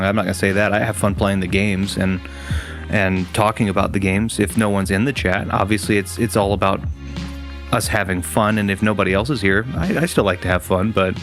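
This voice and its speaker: A man's voice: lowish voice, fairly bright tone. A man hosting a gaming stream, chatting casually with viewers in a rambling way, full of restarts and fillers.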